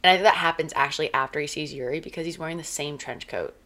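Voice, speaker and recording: A young woman who is losing her voice, chatting loudly and casually as co-host of a fan discussion, with lots of "like". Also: low voice, quick pace. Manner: chatty, fillers of "like", loud.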